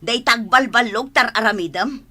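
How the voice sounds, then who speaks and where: A bitter, scolding Ilocano mother in a radio drama. Her voice is piercingly bright and fairly high, full of exasperated nagging. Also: moderate pace.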